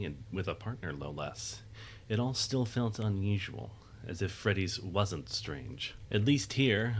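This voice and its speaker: Male narrator, low pitch, scripted dramatic storytelling, audio drama, slight background noise.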